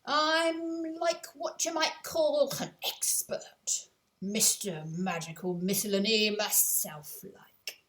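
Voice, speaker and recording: A woman's voice: medium pitch, takes it slowly, fairly bright tone. A woman voicing a pompous, self-important short man, a shopkeeper with colloquial, ungrammatical speech, in an audiobook. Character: pompous short human shopkeeper, a self-styled magical expert. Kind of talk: audiobook character voice.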